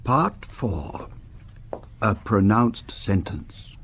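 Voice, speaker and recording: An old colonel in a radio drama. The voice is lowish and slow, with hugely swooping intonation and a teasing, cryptic air. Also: male voice, big pitch swings, slight background noise, heavily acted. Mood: solemn. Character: cryptic old colonel, an amateur researcher of crimes.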